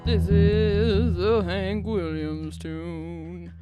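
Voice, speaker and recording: A woman's voice: lowish voice, fairly even intonation, drawn-out words. A woman co-hosting a music show, making brief casual remarks and introducing songs. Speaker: co-host and musician.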